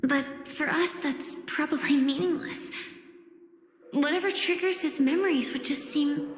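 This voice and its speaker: A woman voicing an earnest, determined young fighter heroine in an anime game, soft and sincere, with a gentle delivery. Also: high-pitched, a little slow. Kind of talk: scripted anime game voice acting. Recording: roomy long reverb, loud music behind.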